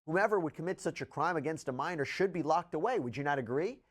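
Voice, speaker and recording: A man presenting news and reading out statements with measured, deliberate emphasis. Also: on the high side, animated. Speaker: news commentator.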